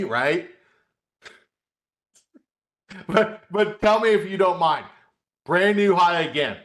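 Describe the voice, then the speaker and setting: A man's voice: on the high side, a bit bright. A man hosting a live trading webcast as a coach, talking through market charts in a conversational, folksy way, addressing viewers directly and often checking in with a short tag question. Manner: conversational, repeats "okay?" as a check.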